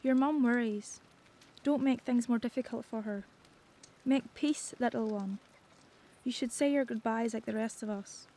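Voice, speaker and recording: An actress playing a gentle, motherly survivor in an audio drama, husky and reassuring, with a Northern Irish accent. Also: a little high, unhurried. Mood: gentle, consoling. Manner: soothing, reassuring. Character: gentle motherly survivor comforting a traumatised child.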